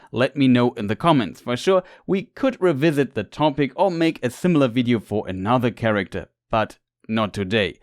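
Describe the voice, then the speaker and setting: A man talks through fantasy book lore at a steady, mid-range pitch. His delivery is measured and explanatory, like a prepared narration. The sound is dry and close, with no room echo. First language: German. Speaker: lore video creator.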